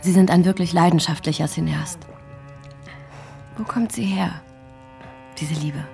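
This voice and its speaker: An actress playing a poised, courteous young silent-film star in a radio drama, speaking in a measured way. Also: female voice, fairly low pitch, creaky, warm tone, soft background music. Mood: curious, polite.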